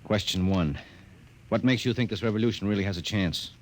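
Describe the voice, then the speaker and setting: A man's voice: on the low side, a little fast, a little hiss or hum, close to an everyday voice. A man playing a blunt reporter. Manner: plainspoken, questioning. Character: blunt American male reporter, skeptical. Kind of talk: 1950s radio drama acting.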